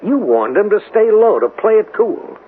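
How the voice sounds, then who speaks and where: An actor playing an exasperated, world-weary police lieutenant who scolds emphatically, in 1970s radio drama style. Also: male voice, high-pitched, big pitch swings, normal speed, breathy edge, full character voice. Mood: scolding. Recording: thin, phone-like vintage radio with faint hiss.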